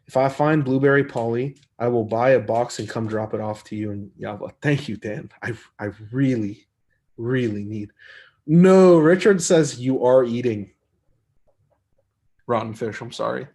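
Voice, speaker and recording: A man hosting a casual live stream, reading viewer comments and answering them in an easygoing, rambling way with a soft voice. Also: medium pitch, measured pace. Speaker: arm wrestler hosting a live chat stream.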